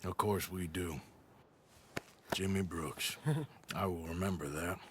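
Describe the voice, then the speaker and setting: An actor playing a gruff American outlaw cowboy in a Western game, with a dry, folksy Southern drawl. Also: male voice, deep voice, slow pace, background noise. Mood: quietly menacing. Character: gruff outlaw cowboy, wry and quietly menacing. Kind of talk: Western video game acting.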